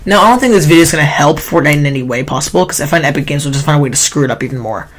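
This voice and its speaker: A man's voice: a little high, animated, very fast, fairly bright tone. Nasal young man with a sore throat. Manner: rambling, self-correcting, complaining. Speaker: gaming YouTuber. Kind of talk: casual countdown commentary.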